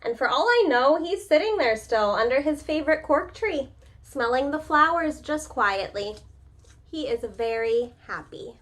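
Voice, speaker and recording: A woman with a slightly high voice reads a picture book to young children in the manner of a preschool teacher. Her delivery is expressive and informal, moving between the story text and chatty asides that invite the listeners to answer. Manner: expressive, pauses to ask listeners questions. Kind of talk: children's storybook read-aloud with casual asides.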